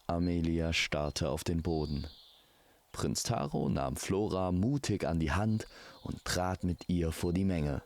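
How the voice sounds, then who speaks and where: A man's voice: low-pitched, unhurried, a little mellow. A man narrating a scripted fantasy tale as a storyteller.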